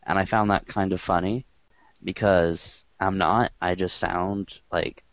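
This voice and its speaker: Low, monotone man with a dry delivery. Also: measured pace, no echo. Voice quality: monotone, quiet voice. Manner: rambling and dry, filler-heavy. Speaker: podcast host. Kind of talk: casual chat.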